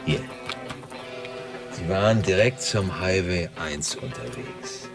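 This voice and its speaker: An actor playing an irritable, brooding police investigator in a radio drama, curt and insistent. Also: male voice, deep voice, lively intonation, takes it slowly, gravelly, heavily acted. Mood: brooding, certain. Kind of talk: naturalistic German radio drama dialogue. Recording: loud music behind the voice. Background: loud music.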